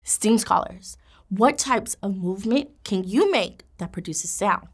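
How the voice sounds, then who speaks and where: A woman in her thirties, a teacher presenting a kids' educational video with an animated, encouraging manner. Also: medium pitch, unhurried, breathy edge. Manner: animated, encouraging, gestures while speaking. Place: indoor classroom studio set.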